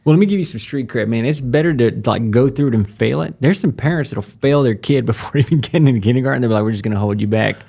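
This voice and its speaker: A man's voice: medium pitch, animated, fast, vocal fry, few pauses, warm tone, husky. A man hosting a podcast interview in a laid-back, jokey way. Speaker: podcast host. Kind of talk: casual interview chat.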